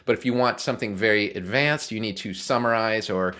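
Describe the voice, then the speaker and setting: A man's voice: fairly low pitch, fairly quick, pushed and bright. A man giving an informal, explanatory screencast tutorial on coding, often checking in with the listener. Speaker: programming tutorial host. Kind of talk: casual walkthrough of code in a screencast tutorial.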